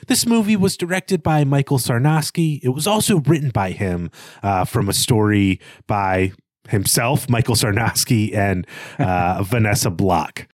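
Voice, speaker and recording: A man's voice: medium pitch, a little slow, dry recording, clean studio sound. A man co-hosting a podcast, discussing casually with thoughtful, slightly hesitant phrasing. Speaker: podcast co-host.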